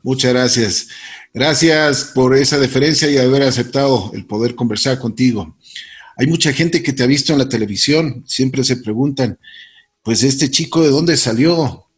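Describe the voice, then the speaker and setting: A man's voice: mid-range voice, normal speed, husky. A man hosting an interview, introducing his guest with effusive praise and repeated phrases. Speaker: host.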